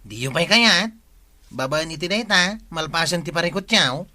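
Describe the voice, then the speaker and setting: Very bright, pushy Ilocano villager. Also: male voice, a little high, animated, normal speed. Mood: coaxing. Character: pushy village man offering heavy work. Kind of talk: Ilocano radio comedy drama acting.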